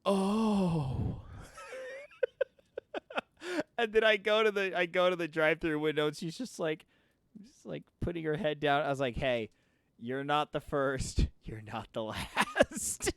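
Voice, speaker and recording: A man in his thirties with a voice higher than most men's, chatting casually while livestreaming a game and telling stories with lots of laughter. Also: unhurried, pauses often, no room sound. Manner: laughing storyteller who acts out quoted voices. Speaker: streamer.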